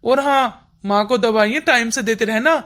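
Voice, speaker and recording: A woman's voice: fairly high pitch, normal speed, fairly bright tone, natural voice. A woman voicing a concerned, earnest grown son on a call home in a Hindi cartoon story.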